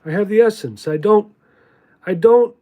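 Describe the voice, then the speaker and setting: A man giving a contemplative spiritual monologue in a halting, deliberate way, repeating words for emphasis. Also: high-pitched, mellow. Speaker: spiritual teacher reading from own writings.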